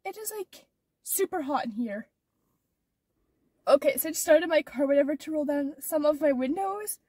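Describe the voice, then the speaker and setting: Teen girl vlogger, very high voice, stuffed-up nose, rambling with fillers, heard from a distance. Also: expressive, moderate pace, warm tone, dry recording. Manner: rambling, many "like" and "or whatever" fillers. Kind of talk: casual vlog chat. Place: inside her car.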